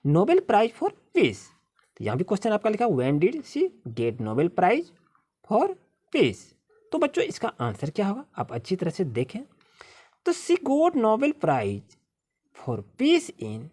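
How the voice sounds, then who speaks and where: Husky woman teacher with very animated pitch. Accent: Indian. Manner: reads English sentences slowly, word by word, then explains them. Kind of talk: school lesson explanation for children.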